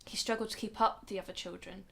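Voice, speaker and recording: A woman acts as a protective grandmother in a radio play. She explains things earnestly and with measured care, in a middling female pitch. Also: fairly even intonation, vocal fry, a bit bright. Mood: sad, reflective. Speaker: actor in a radio drama. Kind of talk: radio drama dialogue.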